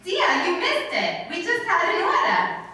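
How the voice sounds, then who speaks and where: A cheerful, muddle-headed and bossy wife from an old-time radio comedy, played by a woman. The voice is high and very lively, recorded at a distance in a heavily echoing hall. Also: measured pace, exaggerated voice. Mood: scatterbrained, cheerful. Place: stage in a hall before a seated audience. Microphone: far camera. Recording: very echoey room, distant camera microphone.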